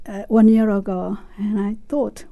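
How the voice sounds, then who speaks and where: A woman in her sixties, a club member talking about her club in an interview, speaking haltingly with many pauses. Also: mid-range voice, little pitch movement, creaky, muffled recording. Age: 60s. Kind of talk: casual interview chat.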